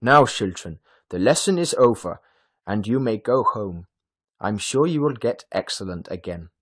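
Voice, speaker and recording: A man's voice: fairly low pitch, animated, moderate pace, slightly rough. A man voicing a kind-hearted but fussy woman schoolteacher in a storybook, addressing a class emphatically. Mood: brisk, kindly. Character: gullible, kind-hearted German woman schoolteacher, fussily indignant.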